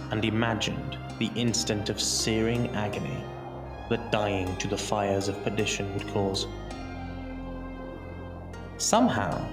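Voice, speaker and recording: Low-voiced male storyteller in a roomy echo. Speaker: narrator. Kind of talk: dramatic scripted storytelling narration. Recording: roomy echo under music. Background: music.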